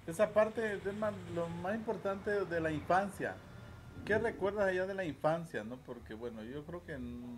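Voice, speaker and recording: A man in his forties with a southern Mexican accent, hosting an informal interview and often tagging questions onto his phrases. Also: fairly high pitch. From Chiapas, southern Mexico. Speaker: host and interviewer. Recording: noticeable background ambient noise.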